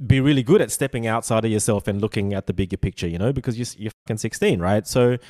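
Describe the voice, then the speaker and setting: A man in his forties hosting a podcast interview, enthusiastic and halting, full of stumbles and "like". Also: on the low side, darkish tone, no room sound, clean studio sound. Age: 40s. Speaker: podcast host. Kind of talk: casual interview chat.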